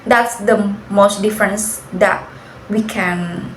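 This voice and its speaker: A young Indonesian woman talks in English in a casual student interview, pausing to search for words and laughing softly now and then. Her voice sits at a middle pitch. The room rings with echo, and a faint hiss lies underneath. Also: steady tone. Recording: echoey room, faint background noise.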